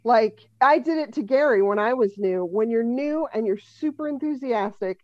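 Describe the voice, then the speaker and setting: A woman's voice: fairly high pitch, lively intonation, normal speed, a little mellow, dry recording, muffled recording. A woman in her fifties from Denver, a comedian and musician guest chatting dryly on a podcast and laughing often. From Denver, USA. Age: 50s. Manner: dry, wry, laughs often. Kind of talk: casual podcast interview.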